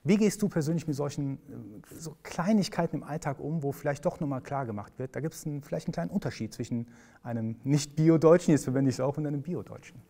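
A man's voice: fairly high pitch, animated, quick pace, dark tone, long reverb tail. A man hosting a podcast, asking friendly questions with hesitant fillers. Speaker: podcast host. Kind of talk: conversational podcast interview.

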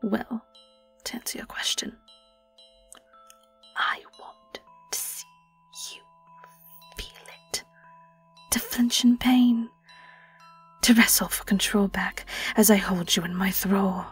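A woman playing a sly, vengeful vampire in an audio roleplay, with a British accent, a breathy, often whispered voice and a taunting, theatrical delivery. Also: medium pitch, takes it slowly, long pauses, soft background music, heavily acted. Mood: mocking. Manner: taunting, theatrical, lingering on words. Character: vengeful young female vampire, sly, gloating and predatory. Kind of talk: dramatic audio roleplay monologue.

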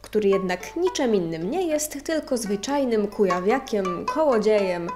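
A woman narrator giving a measured, scripted reading of an old ethnographic text. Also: mid-range voice, animated, over music.

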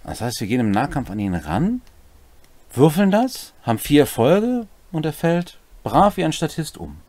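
A man in his forties walks through game rules in a casual, playful way. His voice sits a bit high and swings widely in pitch, recorded close and dry in a small room. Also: big pitch swings, normal speed, darkish tone, no echo. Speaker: co-host explaining game rules. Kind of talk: casual rules walkthrough with joking asides. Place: small home room, indoors.

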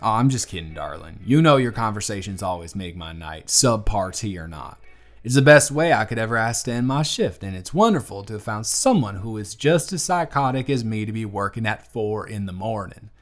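A man's voice: fairly low pitch, expressive, fairly quick, lightly acted. A man playing a teasing, affectionate young bartender with a Southern US accent and a folksy manner, in a romantic audio roleplay. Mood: sincere, tender. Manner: folksy, playful, affectionate drawl. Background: music.

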